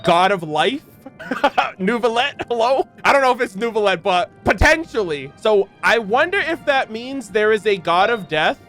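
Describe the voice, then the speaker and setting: Guy streamer with a high voice doing animated reaction stuff, reads out then comments, bit of music behind. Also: moderate pace. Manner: animated, exclamatory, swears freely. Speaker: streamer reacting to a video game's lore. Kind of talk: excited reaction commentary, reading aloud and commenting.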